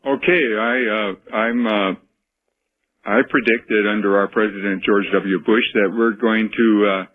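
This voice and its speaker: An American man calling in to a radio show with his opinions, earnest and halting, often repeating words as he goes. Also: on the low side, fairly even intonation. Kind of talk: call-in opinion talk. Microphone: telephone. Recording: muffled noisy phone call on radio.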